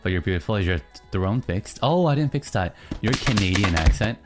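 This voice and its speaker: A streamer in casual live-stream chatter, teasing chat and answering in mock outrage between low, mumbled musings. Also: expressive, fairly quick, soft background music. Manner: reacts to chat, teasing, mock-indignant bursts.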